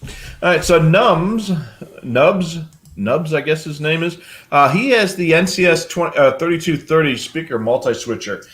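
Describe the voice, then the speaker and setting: A man's voice: fairly high pitch, expressive, normal speed, a bit bright. An older man hosting a casual live hobby show, explaining things in a rambling, matter-of-fact way.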